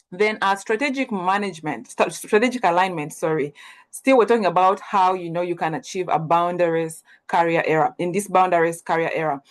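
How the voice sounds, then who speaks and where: A young woman from Uganda, giving an earnest webinar presentation full of ums and self-corrections. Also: fairly low pitch.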